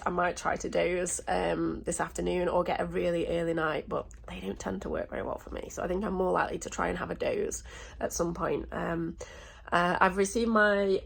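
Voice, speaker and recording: A woman travel vlogger chatting casually and in a rambling way, sounding croaky and stuffed up with a sore throat. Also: fairly low pitch. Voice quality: slightly croaky, congested. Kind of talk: casual vlog chat.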